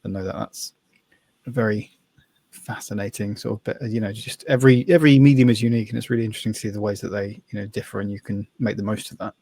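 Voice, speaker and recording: A man co-hosting a podcast in casual discussion, tentative and rambling, softening his points with small asides. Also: fairly low pitch, steady tone, fast talker, no room sound. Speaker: podcast co-host, novelist.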